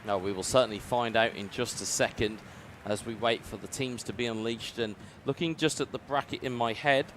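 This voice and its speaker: A man calls an esports football match play by play, his voice sitting at a middle pitch. He turns excitable and booming whenever a chance comes. Faint traffic noise hums in the background. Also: fairly quick, few pauses. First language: English. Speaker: esports commentator. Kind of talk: play-by-play commentary.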